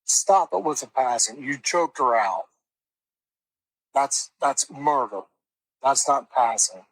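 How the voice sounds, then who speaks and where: Male true crime commentator. Raspy voice, slow pace, blunt and emphatic. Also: mid-range voice, lively intonation, fairly bright tone, low-quality recording. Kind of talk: casual reaction commentary.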